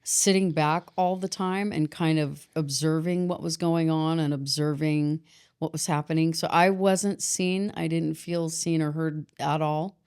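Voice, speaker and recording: A woman in her forties, a podcast guest, telling her life story in a reflective, halting way. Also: low-pitched, steady tone, a little mellow, smooth. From Boston, grew up in San Diego. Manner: reflective, halting, with restarts and fillers. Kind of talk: personal story in a podcast interview.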